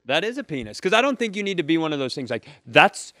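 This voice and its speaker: A man's voice: fairly high pitch, sing-song, fast talker, sharp tone, no room sound, studio quality. A man hosting a comedy podcast, telling stories in casual banter and acting out little quotes as he goes. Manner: jokey storyteller, acts out short quotes.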